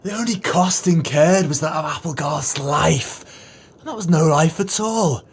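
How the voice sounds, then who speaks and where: An actor in an audio play voicing a callous, arrogant swindler and killer. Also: male voice, fairly high pitch, a little slow, breathy edge. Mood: smug, gloating. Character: callous, smug swindler and murderer, businessman. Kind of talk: audio drama acting.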